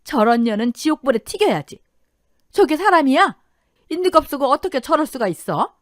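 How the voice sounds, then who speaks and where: A woman's voice: on the high side, big pitch swings, a little slow, dry recording, clean studio sound. Woman acting a fierce, indignant betrayed older wife. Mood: furious. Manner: indignant, biting, emphatic.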